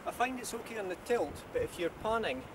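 A man's voice: sits high, expressive, fast. A man chatting casually outdoors in a moorland car park, talking practically about camera gear. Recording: noisy outdoor camera audio.

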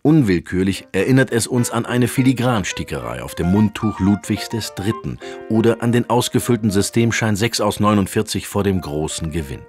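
Man as a deep-voiced pompous art critic. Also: close to an everyday voice. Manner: pompous, florid, solemn. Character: pompous male art critic, solemnly rapturous. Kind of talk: scripted mock art-documentary narration.

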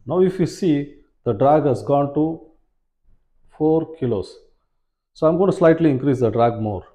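Man, forties, soft mellow tone, measured explaining, instructional demonstration, indoor room. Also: a little high, expressive. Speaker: fishing tutorial presenter. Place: small plain room indoors, seated at a table.

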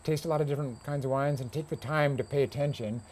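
A middle-aged American man, a farmer and winemaker, explains his work casually and haltingly in an interview. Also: mid-range voice, a little mellow. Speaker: grape grower and winemaker being interviewed. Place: outdoor covered porch beside a pond. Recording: faint outdoor insect noise.

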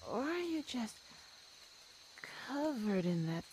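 Woman acting a slow-talking predatory cat monster girl. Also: on the high side, big pitch swings, a little mellow, slight background noise, rough recording. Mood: teasing, curious. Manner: slow, menacing, teasing, with hums and sighs. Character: predatory feline monster girl, teasing and hungry. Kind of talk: audio roleplay, ASMR.

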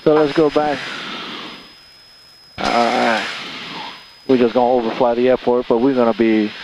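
A middle-aged man talks as a pilot in a small airplane cockpit. He works in short, repeated procedural phrases at a medium pitch. His headset mic carries some engine noise. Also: steady tone, moderate pace. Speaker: pilot doing an aircraft checkout. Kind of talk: cockpit talk and radio calls. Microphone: aviation headset boom mic. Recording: noisy aviation headset with engine drone. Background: engine noise, radio.

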